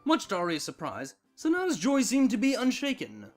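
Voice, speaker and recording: A man narrating a scripted story with dramatic, expressive delivery. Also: extremely high pitch, brisk. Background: background music.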